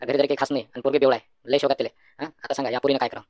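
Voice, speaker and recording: A man's voice: mid-range voice, little pitch movement, very fast, darkish tone, no echo, lightly acted. A man voicing a confident, take-charge young village friend in a comedy cartoon, colloquial, with a Varhadi Marathi accent. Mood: earnest, persuading.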